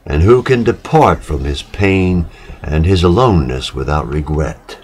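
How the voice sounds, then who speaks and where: A man giving a solemn literary reading, measured and dramatic, with pauses between short phrases. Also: deep voice, lively intonation, darkish tone, slight background noise. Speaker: reader of poetic literature.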